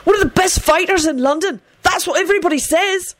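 A woman's voice: sits high, animated, a little slow, no echo, pitched above the performer's own voice. A woman voicing an eager, ingratiating teenage boy who talks in a gushing, melodramatic way, in an audiobook story. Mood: frantic flattery.

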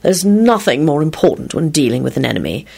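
A woman puts on a brash male wizard for a fantasy audiobook. He is cocky and defiant, a foul-mouthed duelist with very mobile pitch. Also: very animated, brisk, vocal fry, slightly rough, heavily acted. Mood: mock kindly. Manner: mock-innocent, then brash yelling.

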